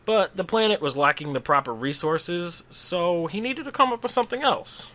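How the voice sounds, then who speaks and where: A man's voice: sits high, lively intonation, normal speed, bright, forceful tone. A man telling a comedic scripted story in a dramatic, tongue-in-cheek way, with casual asides. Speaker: storyteller, host of a story series.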